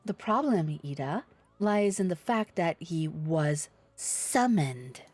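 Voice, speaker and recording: A woman's voice: lowish voice, lively intonation, takes it slowly, a little mellow, breathy edge, faint music behind. A woman livestreaming a game, reading its dialogue aloud in character with theatrical expression and casual asides.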